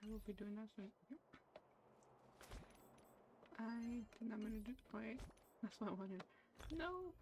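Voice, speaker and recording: A woman's voice: fairly high pitch, expressive, measured pace, long pauses, noisy background. A young woman streaming a game, chatting casually in a rambling, distracted way. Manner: rambling and distracted, many fillers. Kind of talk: casual gameplay commentary. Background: game music.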